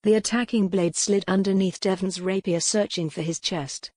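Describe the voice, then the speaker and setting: A woman's voice: medium pitch, fairly even intonation, normal speed, a little mellow, dry recording, studio quality. A woman reading a historical romance novel as a scripted, dramatic audiobook narration.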